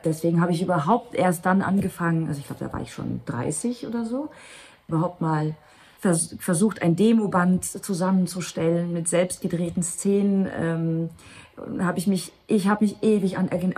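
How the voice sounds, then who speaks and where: A woman in her thirties, a Luxembourgish native speaker, talking reflectively as an actress in an interview, with restarts and light laughs. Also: medium pitch. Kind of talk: candid interview conversation.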